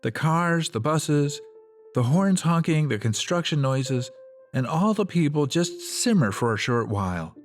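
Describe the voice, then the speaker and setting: A man playing a mellow, laid-back, sincere survivor in a radio play. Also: on the high side, lively intonation, measured pace, faint music behind. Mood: wistful.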